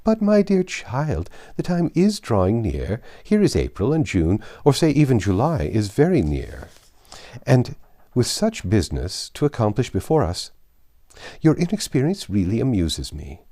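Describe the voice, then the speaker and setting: A man's voice: medium pitch, huge pitch swings, unhurried, warm tone, pitched above the performer's own voice. Man putting on a gushing, swooping, self-important married lady. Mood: insistent, patronising. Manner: pompous, gushing, insistent. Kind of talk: dramatised classic novel reading.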